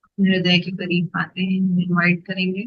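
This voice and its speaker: A meditation guide giving instructions in short phrases with unhurried pauses between them. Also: medium pitch, monotone, no room sound, lo-fi sound.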